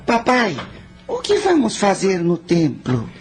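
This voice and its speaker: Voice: mid-range voice, wildly swooping pitch, slow. A performer playing an earnest ten-year-old boy, a general's son, in a radio drama, speaking solemnly. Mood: curious, obedient. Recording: music under the voice.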